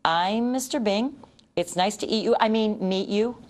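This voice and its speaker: A woman's voice: mid-range voice, normal speed, a little mellow, voice pushed up, lightly acted. A woman voicing a mild, sheepish, well-meaning man in a children's storybook, hesitant and apologetic. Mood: flustered.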